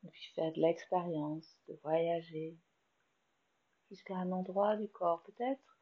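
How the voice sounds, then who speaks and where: Casting: French woman, meditation teacher, very slow calm delivery, slightly muffled lo-fi sound. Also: on the low side, slightly rough. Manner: slow, soft, soothing guidance with long pauses. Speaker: yoga nidra teacher. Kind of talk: guided meditation.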